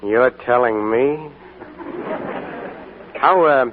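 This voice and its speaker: A charming young soldier from the United States in a 1940s radio drama, easygoing and teasing. His voice sits at a middle pitch. The sound is tinny and phone-narrow, with a faint hiss. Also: very slow, big-room sound.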